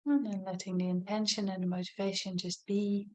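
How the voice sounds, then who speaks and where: A woman leading a guided body scan meditation as a teacher, speaking gently and without hurry, in soft invitations with long pauses. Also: medium pitch, little pitch movement.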